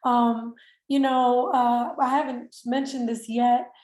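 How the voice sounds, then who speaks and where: A woman hosting an interview, gushing and effusive, with halting fillers. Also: fairly high pitch, little pitch movement, a little mellow, reverberant. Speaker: interview host. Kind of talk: enthusiastic interview chat.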